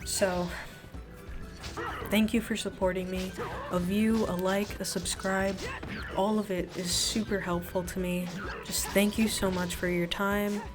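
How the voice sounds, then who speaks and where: A woman's voice: mid-range voice, flat delivery, unhurried. A woman hosting her own channel, talking casually and sincerely to her viewers in a personal way. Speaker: video creator and channel host. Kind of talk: casual, earnest talk to viewers over gameplay. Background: music.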